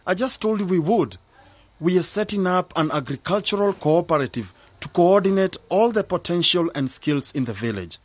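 A friendly, persuasive young graduate. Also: male voice, sits high, animated, normal speed, no room sound. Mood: reassuring. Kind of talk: radio drama acting.

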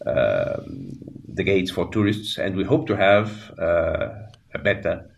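A man in his sixties from Portugal with a Portuguese accent, talking as a radio interview guest in a halting way, with many fillers and restarts. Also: sits low, very animated, vocal fry, a little hiss or hum. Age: 60s. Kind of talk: radio interview, reflective storytelling.

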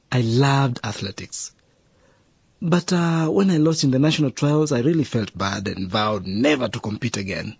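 A caring cop dad, real husky voice, goes up and down a lot, dry dull sound. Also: male voice, mid-range voice, no echo, exaggerated voice. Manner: earnest, fatherly, sighing. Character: middle-aged widowed male police officer, caring father. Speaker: father, police officer. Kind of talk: radio drama acting. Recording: dull dry radio drama recording.

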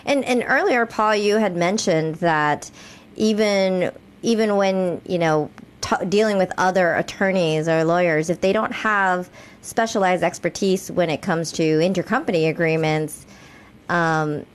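A woman co-hosting a podcast and casually putting questions to guests in a halting, conversational way. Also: on the low side. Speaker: podcast co-host, interviewer.

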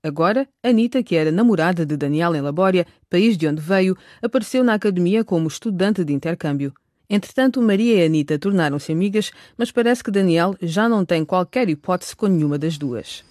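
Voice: mid-range voice, expressive, brisk, darkish tone, dry recording, studio quality. A narrator reading a scripted recap for a radio drama.

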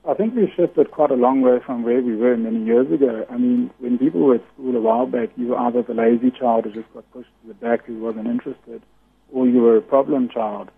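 Husky man on a tinny phone line. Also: medium pitch, steady tone, fairly quick. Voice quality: loud voice. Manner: earnest, explanatory. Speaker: guest. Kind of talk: radio interview over the phone.

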